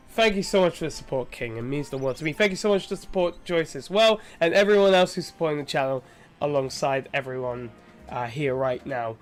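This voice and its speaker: Congested high-voiced young male streamer. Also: a bit bright. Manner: chatty, rambling, friendly with chat. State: congested from a sinus infection. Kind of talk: casual live-stream chat. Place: home room.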